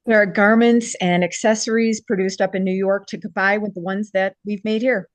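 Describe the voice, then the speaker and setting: A woman playing an excited fashion show crew member in a script table read. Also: mid-range voice, normal speed, no room sound, close to an everyday voice.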